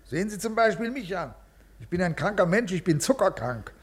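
A man's voice: high-pitched, big pitch swings, fairly quick, slightly rough, full character voice. An actor playing a rigid, ailing male bureaucrat in a 1950s radio play, officious, formal and brusque. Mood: lecturing, dismissive.

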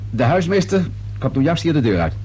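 An actor playing a polite, unhurried police detective who questions in a casual, hesitant, probing way in a radio drama. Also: male voice, fairly high pitch, very animated, a little mellow, husky. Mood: insistent, probing. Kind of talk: Dutch radio drama acting. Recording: noisy old radio recording with hum.

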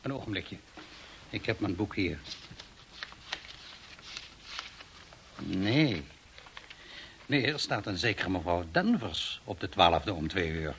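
An actor playing a composed, matter-of-fact doctor in a radio play, speaking calmly and deliberately. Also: male voice, deep voice, slight background noise, full character voice. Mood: polite. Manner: calm, deliberate, professional. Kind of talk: Dutch radio drama acting.

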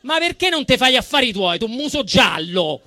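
A man's voice: very high voice, big pitch swings, moderate pace, sharp tone, no room sound, exaggerated voice. A man voicing an indignant, crude, food-obsessed Italian everyman in a comic monologue, with a Roman accent and blunt, aggrieved retorts.

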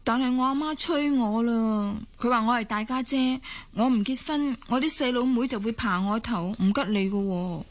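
A woman's voice: fairly high pitch, normal speed, few pauses, natural voice. A woman acting in a Hong Kong Cantonese radio drama as a doting, pushy young hospital assistant and possessive girlfriend. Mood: pressing.

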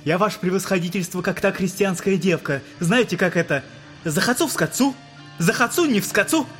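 A man's voice: sits high, animated, brisk, fairly bright tone. A man playing a feverish, tormented, bitterly defiant courtroom witness in a theatrical audio drama, speaking in an agitated, sardonic, erratic way. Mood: bitterly mocking. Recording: dramatic music behind the voice. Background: music.

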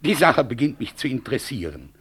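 An actor playing a self-satisfied, coolly sarcastic defence lawyer in a 1960s German radio drama, polished and pompous. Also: male voice, medium pitch, big pitch swings, brisk, a bit bright, husky, heavily acted. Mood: coolly offended.

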